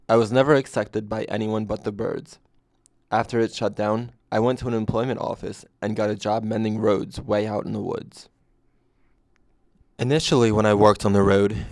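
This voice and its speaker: A man narrating a scripted first-person story in a deadpan way. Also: lowish voice, vocal fry, no room sound, studio quality.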